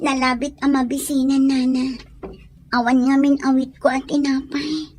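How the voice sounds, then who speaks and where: A woman's voice: high-pitched, level tone, moderate pace, a little mellow, natural voice. A woman playing a distressed, scorned young wife and mother in an emotional radio-style drama. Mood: subdued, weary.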